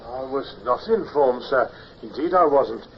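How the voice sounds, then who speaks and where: An actor playing an obsequious ship's gunner, emphatic and servile, in period radio drama style. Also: male voice, mid-range voice, animated, measured pace, natural voice. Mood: servile, protesting. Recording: dull old radio recording with hiss.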